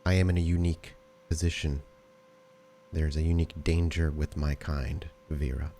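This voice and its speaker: A man voicing a guarded, blunt female dance teacher in a tabletop game, with deliberate, formal phrasing. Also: very deep voice, little pitch movement, mellow, slight background noise. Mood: guarded, wary.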